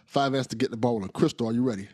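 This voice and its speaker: A man's voice: medium pitch, racing, warm tone, breathy edge, dry recording, clean studio sound. A man hosting a podcast in an enthusiastic, casual way, laughing often and repeating his words. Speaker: podcast host.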